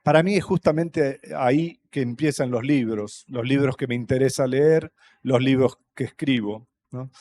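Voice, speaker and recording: A man from Brazil whose first language is Portuguese, speaking Spanish with a Brazilian accent on a festival panel, thoughtful and halting, with many fillers and restarts. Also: medium pitch, a little mellow. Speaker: panel guest, writer. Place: stage at a public festival panel.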